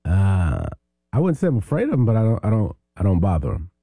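A man who is a mayor speaks as a guest on a radio talk show. His voice sits low and sounds very dark and mellow, close and dry. He talks in an unhurried, rambling, easygoing way. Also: wildly swooping pitch, creaky, slightly rough, no echo. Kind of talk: casual radio interview.

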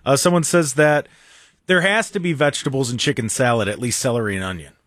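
A man's voice: medium pitch, quick pace, a bit bright, no room sound, studio quality. A man hosting a talk radio show, bantering casually and teasingly and reading out texts from listeners. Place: radio studio.

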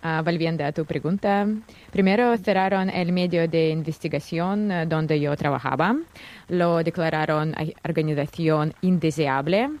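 A young Russian woman with a Russian accent, a guest journalist speaking careful, somewhat nervous Spanish with many pauses and fillers. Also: low voice, fairly even intonation, a little mellow. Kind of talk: interview answers.

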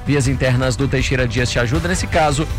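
Clipped Brazilian traffic announcer. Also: male voice, mid-range voice, music in the background. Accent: Brazilian Portuguese. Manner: rapid, clipped announcer delivery. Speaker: traffic reporter. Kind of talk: traffic report and ad read.

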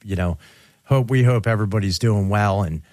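A man's voice: on the low side, fairly quick, darkish tone, no echo, clean studio sound. A man co-hosting a recovery radio talk show, speaking earnestly and reassuringly to listeners. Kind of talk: casual radio chat.

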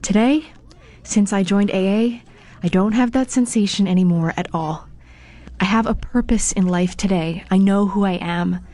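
A woman's voice: medium pitch, normal speed, dark tone. A woman giving a candid, confessional personal testimony for a public service ad. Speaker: testimonial speaker.

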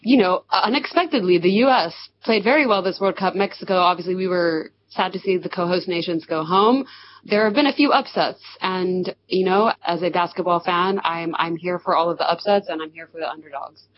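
A hoarse woman speaking enthusiastically as a guest in a broadcast interview. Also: fairly low pitch, fairly quick. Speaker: community organizer. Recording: muffled line with faint noise.

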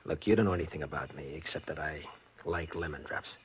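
An actor playing a glib young racetrack hustler who talks in slang, in 1940s radio style. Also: male voice, rumbling low, husky, heavily acted, poor audio. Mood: guarded. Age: young adult. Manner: glib, fast-talking, slangy. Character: smooth-talking young male racetrack hustler.

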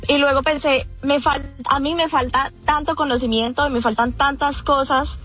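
A woman's voice: a little high, a bit bright. A woman with a Colombian accent, a guest in a radio interview, speaking earnestly with many fillers and restarts. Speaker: event organizer, guest.

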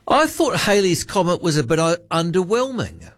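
A man's voice: high voice, big pitch swings, moderate pace, no room sound. Nz radio host guy, dry offhand chat, very dead studio sound. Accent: New Zealand. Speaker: late-night talkback radio host. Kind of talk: casual talkback radio chat. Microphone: studio broadcast mic.

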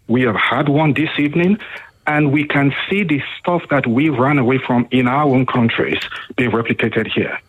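A man's voice: medium pitch, breathy edge. A man who was born abroad, calling a talkback radio show and speaking earnestly with building emphasis. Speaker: caller. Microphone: phone. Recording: phone line.

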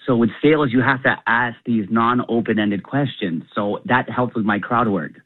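A comedian talking fast and animatedly during a phone interview, laughing often. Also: male voice, medium pitch, no echo. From Canada. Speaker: comedian guest. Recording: tinny phone line.

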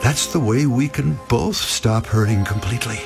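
An actor playing a gentle, kind man grieving his late wife, who talks softly and reassuringly to a child in a radio drama. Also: medium pitch, normal speed, gravelly, music in the background, natural voice. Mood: tender. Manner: soft, tender, reassuring with a child. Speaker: widowed friend.

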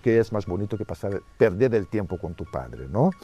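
A middle-aged man from Brazil talks through his filmmaking in a calm, reflective interview. His voice sits low and sounds dark and mellow. He muses aloud and often tags his sentences with a questioning "no?". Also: moderate pace, husky, dull audio, soft background music. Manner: thoughtful, musing, with frequent tag questions. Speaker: documentary filmmaker.

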